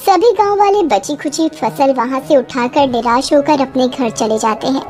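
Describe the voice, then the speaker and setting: A woman's voice: high voice, normal speed, darkish tone, soft background music. A woman reading scripted, dramatic story narration.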